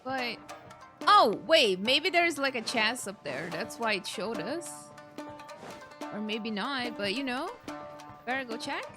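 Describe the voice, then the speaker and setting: A woman's voice: on the high side, sing-song, takes it slowly, long pauses, over music. A woman giving casual gameplay commentary, thinking aloud as she plays. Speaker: let's play streamer.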